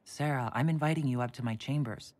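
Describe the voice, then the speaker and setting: A tall, horned female hero of a fantasy video game. She is composed and speaks plainly and in a measured way. A gentle wryness runs through her lines. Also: very deep voice. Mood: warm, relieved.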